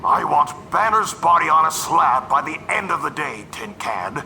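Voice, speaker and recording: An actor playing a gruff, menacing army general who barks threats. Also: male voice, on the high side, very animated, moderate pace, sharp tone, slight background noise, heavily acted. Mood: threatening. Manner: threatening, barking. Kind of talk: fan audio drama acting.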